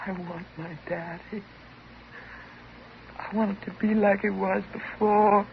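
Actress as unstable woman patient, theatrical, volatile, creaky American voice, 1950s radio drama, dull hissy recording. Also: mid-range voice, steady tone, measured pace, close to an everyday voice. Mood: distraught. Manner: theatrical, emotionally volatile, bitterly mocking. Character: intense, unstable woman psychotherapy patient in love with her doctor.

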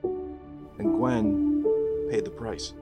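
A man's voice: on the low side, steady tone, drawn-out words, loud music behind the voice. An actor playing a guilt-ridden but quippy young male superhero, earnest and wisecracking in a dramatic table read. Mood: regretful. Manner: earnest confessions, wisecracking banter in fights. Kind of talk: dramatic table read with first-person narration.

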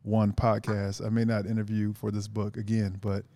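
A man's voice: on the low side, monotone, normal speed, dark tone, dry recording. A man guest on a casual podcast, talking earnestly in long rambling sentences with many restarts.

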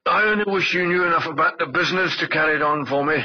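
An actor playing a kindly, canny elderly shopkeeper in an old-time radio drama. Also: male voice, on the high side, fast. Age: older. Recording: dull old radio recording.

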